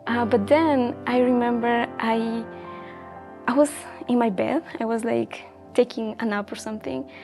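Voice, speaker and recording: Young woman telling her story, pretty monotone, says "like" and "um" a lot, quiet music under it. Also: on the high side, measured pace. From Ecuador. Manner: earnest, reflective, with frequent fillers like "like" and "um". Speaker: guest. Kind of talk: personal testimony in a casual chat.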